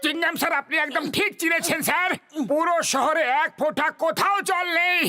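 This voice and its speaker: An actor playing an excitable, food-loving male cartoon buffoon with an eager, exaggerated manner. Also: very high voice, fairly quick, soft background music, exaggerated voice. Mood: agitated.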